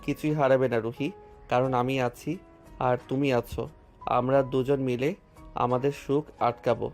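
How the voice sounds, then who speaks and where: A man voicing a devoted, earnest young lover with a tender, even delivery in a romantic audio drama. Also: medium pitch, normal speed, soft background music, lightly acted. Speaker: voice actor.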